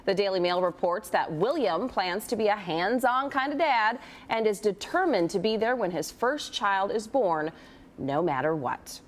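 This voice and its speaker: A woman's voice: mid-range voice, expressive, moderate pace. A woman presenting morning TV news as an anchor, in a conversational style.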